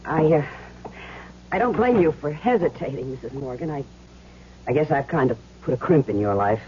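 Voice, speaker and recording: A woman's voice: deep voice, animated, normal speed. An actress playing a tough but good-hearted young woman gambler in an earnest, emphatic, slangy way for a 1970s radio drama. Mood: guarded, businesslike. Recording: muffled, hissy old radio recording with hum.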